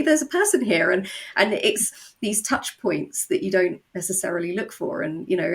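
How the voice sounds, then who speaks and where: A woman with a lowish voice chats as a guest on an interview show. Her speech has a distinct gravelly creak. She tells stories in a conversational way, slipping in quoted asides. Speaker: guest, audio drama writer and co-creator.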